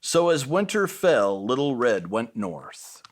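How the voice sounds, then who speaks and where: A man's voice: medium pitch, very animated, takes it slowly. A man reading a children's bedtime story expressively as a storyteller.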